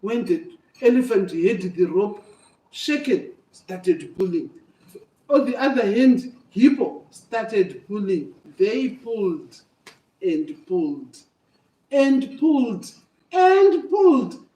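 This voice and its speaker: Older lady doing story time for kids, slow n mellow, teacherly, echoey room far from mic. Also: mid-range voice, very animated. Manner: emphatic, repetitive, teacherly. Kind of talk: animated oral storytelling to children. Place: echoey room, away from the microphone. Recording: echoey room, distant microphone.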